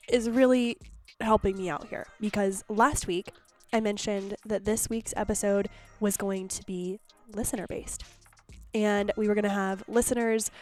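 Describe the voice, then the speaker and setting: A woman hosting her podcast in a casual, chatty solo monologue, sounding congested and phlegmy. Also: mid-range voice. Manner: chatty and candid, with restarts. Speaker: podcast host.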